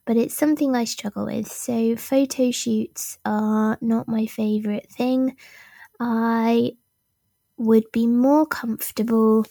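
A woman hosts her own podcast in a relaxed, slow way, laughing at herself between ums. Her voice has gone husky and deep for now, with a mellow, dark tone. The room is dry and close. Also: no echo. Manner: self-deprecating, many ums, laughs at herself. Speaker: podcast host. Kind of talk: casual solo podcast talk.

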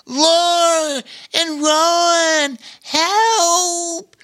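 A storyteller voicing an eager young male otter who calls out in a high, pleading voice. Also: very animated, unhurried, sharp tone, heavily acted.

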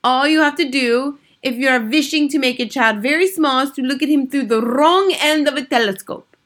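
An imperious storybook witch queen. Her voice is pitched well up and pushed loud. She snaps out menacing orders with gloating authority and stilted grammar. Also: normal speed. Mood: lecturing, triumphant. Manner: commanding, snapping, shouting, odd foreign-sounding grammar. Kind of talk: audiobook children's story villain.

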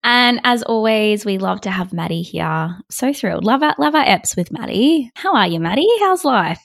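A woman's voice: medium pitch, expressive, moderate pace, creaky, no room sound, studio quality. A woman co-hosting a psychology podcast, chatting playfully and laughing often. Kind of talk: casual chat.

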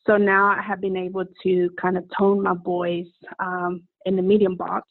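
Woman, earnest, halting, flat pitch, tinny phone-like sound. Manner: earnest, halting, many fillers. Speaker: guest employee. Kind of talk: casual studio chat.

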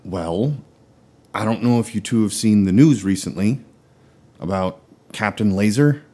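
A man's voice: on the low side, measured pace, dark tone, voice pushed down. A man acting in a comedy sketch as an earnest, exasperated twenty-something son, hesitant and pleading as he confides in his parents. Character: earnest exasperated 25-year-old son confessing secret superhero identity.

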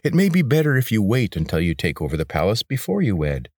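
Narrator doing a measured, wary temple priest, close to his own voice. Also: fairly low pitch, no room sound, pitched above the performer's own voice. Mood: calm. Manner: calm, measured. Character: scheming male temple priest, calm and wary.

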